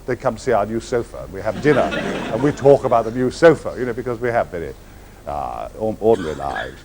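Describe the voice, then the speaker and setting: Male raconteur, lavish vocabulary, lively swooping delivery, comic pauses. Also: noisy background. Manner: grand, florid wording with comic timing and sudden swings in pitch. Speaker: actor telling stories on stage. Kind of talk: theatrical anecdote to a live audience. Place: stage before an audience.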